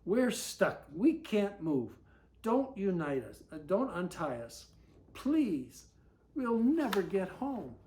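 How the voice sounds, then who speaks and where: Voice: medium pitch, sing-song, slow pace, warm tone. A storyteller voicing frightened, stranded children from a picture book, exclaiming and pleading.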